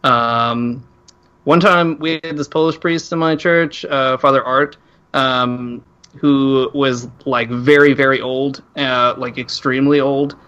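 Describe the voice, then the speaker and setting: Bright-voiced man, dryly sarcastic. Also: medium pitch, steady tone, takes it slowly, muffled recording. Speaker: podcast guest. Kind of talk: casual comedic podcast chat.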